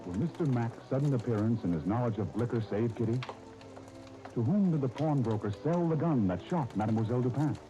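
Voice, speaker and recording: A man's voice: medium pitch, lively intonation, a little fast. A man dramatically narrating as a 1930s radio serial announcer, over music on a muffled vintage recording. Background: clear music.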